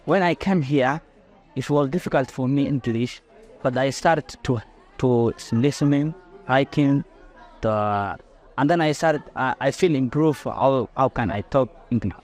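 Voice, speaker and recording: A man from Somalia with an average-pitched voice answers an interview question. He is hesitant, building simple phrases in limited English. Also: unhurried, darkish tone. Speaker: language student.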